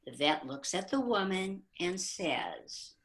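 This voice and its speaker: A woman narrating a short comic story for a readers theater program. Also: lowish voice. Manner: slow, expressive storytelling.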